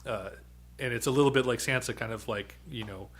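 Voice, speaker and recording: A man hosting a podcast in a mid-range voice. He recaps the episode in a rambling, halting way, full of fillers and small chuckles. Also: medium pitch, a loud crowd. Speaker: podcast host. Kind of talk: casual chat recapping a TV episode.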